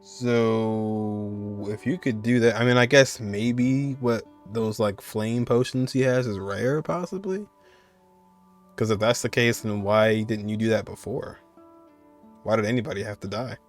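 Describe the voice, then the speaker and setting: A man's voice: on the low side, unhurried, soft background music. A man giving casual, slangy commentary as a gaming streamer, reacting freely as he plays.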